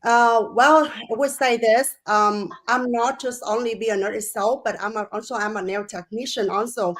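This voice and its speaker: First-time guest: woman, eager, slightly jittery, highish pitch. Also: fairly even intonation, moderate pace, low-quality recording. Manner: warm, slightly nervous, broken English. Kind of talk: self-introduction on a video call.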